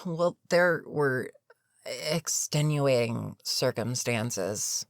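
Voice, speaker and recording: A voice actor playing an older, experienced villain in an audio roleplay, weary and dryly sarcastic but reluctantly protective, with a wry, deliberate delivery. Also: mid-range voice, lively intonation, no room sound, studio quality. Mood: exasperated, wry. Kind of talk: intimate audio roleplay monologue.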